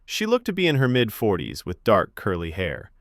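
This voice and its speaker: A man's voice: on the low side, wildly swooping pitch, moderate pace, no room sound, studio quality. A man reading scripted fiction narration as a storyteller.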